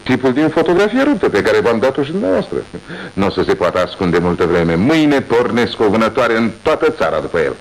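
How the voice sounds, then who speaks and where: An actor playing a self-assured police inspector in a radio drama, boastful and dismissive. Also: male voice, lowish voice, big pitch swings, poor audio. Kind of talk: 1980s radio drama acting.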